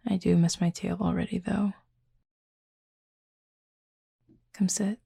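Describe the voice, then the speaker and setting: A woman playing a reserved, gently teasing cupid roommate in an intimate audio roleplay, speaking softly and breathily in a dry, measured way. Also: mid-range voice, gravelly, breathy edge. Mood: curious, slightly awkward. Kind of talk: intimate roleplay audio drama, addressing the listener.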